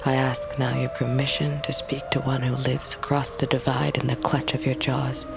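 An actress playing a grieving, fierce but lonely young princess of a wolf tribe in a fantasy audio drama, speaking in a solemn, intimate, halting way. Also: female voice, rumbling low, little pitch movement, gravelly, noisy background. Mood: solemn prayer. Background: music.

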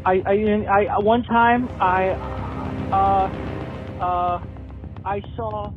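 An actor playing a lonely, bumbling tipline caller who talks in a halting, stammering way in a comic audio drama. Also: mid-range voice. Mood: lonely, flustered. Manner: halting, stammering, deadpan anticlimaxes. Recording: muffled lo-fi phone call with music behind.

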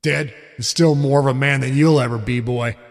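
A man's voice: medium pitch, steady tone, moderate pace, long reverb tail, close to an everyday voice. A man voicing a harsh, abusive dead father with a thick growl and a taunting manner. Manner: taunting, bullying.

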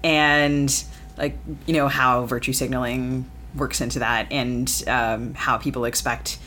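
A woman's voice: a bass voice, fairly even intonation, a little slow, a bit bright, slight background noise. A woman hosting a podcast, speaking thoughtfully with many fillers in casual conversation. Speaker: podcast host.

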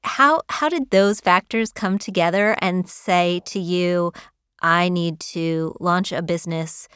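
A woman's voice: lowish voice, slow, a bit bright, clear, resonant tone, no echo, studio quality. A woman interviewer asking questions in a conversational manner with playful asides.

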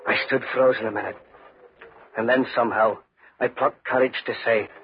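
A man's voice: medium pitch, steady tone, normal speed, breathy edge. An actor playing a humble, uneasy tenant farmer who tells his tale in earnest, folksy 1940s radio style. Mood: grave, haunted. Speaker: narrator and lead character. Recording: muffled, noisy 1940s radio recording.

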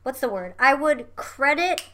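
A young woman from Indonesia, chatting casually as a guest, animated and full of "like" and "you know what I mean", with casual swearing. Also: sits high, a little slow. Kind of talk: casual chat.

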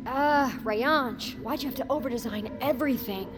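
An actress plays a feisty, confrontational woman on an expedition crew. Her voice sits high and she speaks with blunt urgency. Loud music plays behind this audio drama. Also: normal speed, close to an everyday voice. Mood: impatient. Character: feisty, impatient, outspoken woman crew member.

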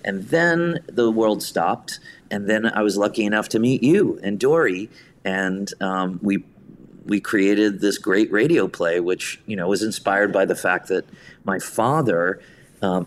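A man with a very deep voice, chatting casually as a guest. He tells anecdotes and quotes conversations as he goes. There is faint noise behind him. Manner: anecdotal, quoting conversations in his own voice. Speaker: guest, theatre director and writer. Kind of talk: casual interview storytelling.

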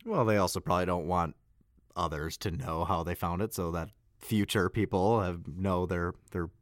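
A man in a casual podcast chat, speaking in a halting way and often repeating words. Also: low-pitched, steady tone, no echo, clean studio sound. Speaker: podcast discussion participant.

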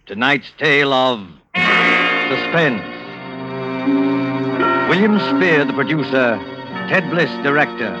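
Slow, formal 1940s radio announcer man. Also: mid-range voice. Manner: formal, measured. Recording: dull vintage radio, loud music behind.

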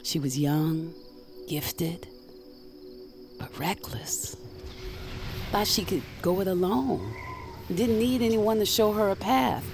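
A woman's voice: medium pitch, slow, pauses often, over music. An actress playing a seasoned magic user in an audio drama, a frank and protective mentor who teases as she instructs. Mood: earnest.

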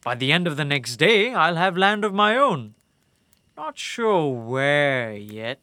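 Flippant captive warlord, audio drama, high-pitched for a man, sharp bright tone, wry taunting manner. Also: big pitch swings, takes it slowly, no echo, clean studio sound, full character voice. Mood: cocky, taunting. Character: captured enemy warlord, flippant, cocky, sardonic older man.

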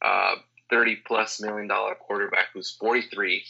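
Low gravelly guy on a muffled call line, bright edgy tone, keeps restarting. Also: moderate pace. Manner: animated, full of restarts. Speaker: podcast guest, sports fan. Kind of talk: casual sports opinion chat. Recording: lo-fi internet call audio.

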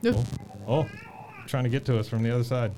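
A man's voice: medium pitch, fairly quick, noisy background. A man co-hosting a podcast, chatting casually and joking, sometimes trailing off into a mumble. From born in Taiwan, raised in Texas. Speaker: podcast co-host. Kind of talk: casual chat.

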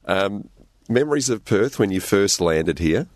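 A man's voice: fairly low pitch, lively intonation, a little slow, no room sound. A man hosts an interview, steering with questions. He nods along with short affirmations. The recording is dry and close. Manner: affirming backchannels, friendly chuckles. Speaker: radio interviewer host.

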